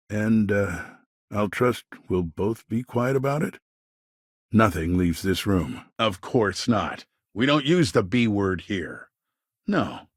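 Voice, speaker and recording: An actor playing a slick, corrupt colonial politician in a video game, smooth-talking, smug and condescending with small evasive hesitations. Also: male voice, fairly low pitch, no echo, clean studio sound, exaggerated voice. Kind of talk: video game character dialogue.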